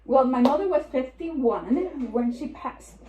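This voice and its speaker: A woman's voice: high-pitched, lively intonation, takes it slowly, roomy sound, dull audio. A woman in her forties with a Puerto Rican accent, an estate planning attorney giving a seminar talk with chatty, joking asides. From New York. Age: 40s. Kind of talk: seminar presentation.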